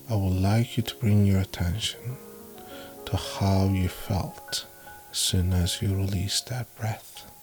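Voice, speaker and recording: A man narrating a guided meditation in a measured, instructive way, with frequent pauses between phrases. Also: fairly low pitch. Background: background music.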